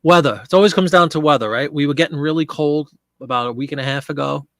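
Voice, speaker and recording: Gravelly, stuffed-up man chatting live. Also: on the high side, fast, a bit bright, dry recording, studio quality. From Pennsylvania. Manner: chatty, rambling, answers viewer chat. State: congested from a cold. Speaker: live-stream eBay seller. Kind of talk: casual live-stream chat.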